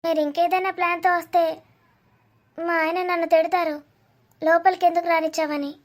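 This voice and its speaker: Cartoon family-drama mother, female performer: extremely high voice, proud, wary, indignant, formally polite. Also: flat delivery, moderate pace. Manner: polite wording, questioning, indignant. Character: proud, wary middle-aged mothers and aunties.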